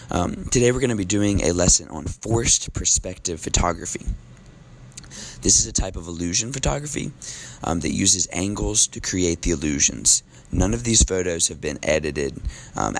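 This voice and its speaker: Man, teacher, losing his voice, very deep, gravelly, casual, lots of ums. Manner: relaxed, casual with filler ums. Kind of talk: recorded classroom lesson.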